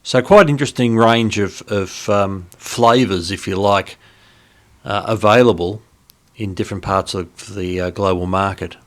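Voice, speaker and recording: A man casually explaining things as host of a hobby radio video, in an unhurried, understated, conversational way. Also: fairly low pitch.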